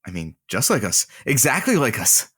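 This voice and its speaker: A wry, playful radio colleague in a comedy audio drama. Her voice is exceptionally low for a woman, yet very animated, recorded close and dry. She teases with easy relish. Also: normal speed, husky, no room sound, heavily acted. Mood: giddy. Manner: teasing, playful. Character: teasing, wry female radio co-worker and show host.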